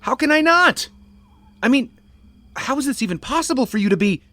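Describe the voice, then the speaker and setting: An actor playing a starving, guilt-wracked young castaway in an audio drama, emotional and self-pitying. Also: male voice, extremely high pitch, animated, moderate pace, bright, forceful tone, no room sound, exaggerated voice. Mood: overjoyed disbelief.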